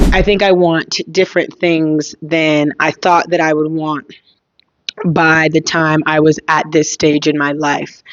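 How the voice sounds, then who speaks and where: A young woman hosting a casual solo podcast, thinking aloud in a reflective way, her voice slightly hoarse. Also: deep voice, dry recording, lo-fi sound. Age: young adult. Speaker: podcast host.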